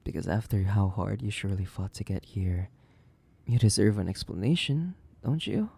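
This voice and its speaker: Guy doing a sadistic old vampire for horror roleplay, low dark voice, breathy whispers, savoring every word, faint noise behind. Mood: possessive, savoring. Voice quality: gravelly, breathy, often dropping to a whisper. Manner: slow, theatrical, savoring, condescending. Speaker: audio roleplay voice actor. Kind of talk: horror audio roleplay monologue.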